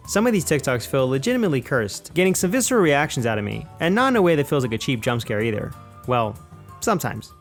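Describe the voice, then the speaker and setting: A man narrating a scripted video essay of film criticism in a measured, conversational, opinionated way. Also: mid-range voice, sing-song. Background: background music.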